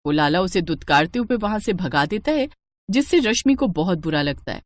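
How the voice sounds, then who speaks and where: A woman's voice: on the low side, animated, moderate pace, dry recording. A woman reading a scripted moral story as its narrator.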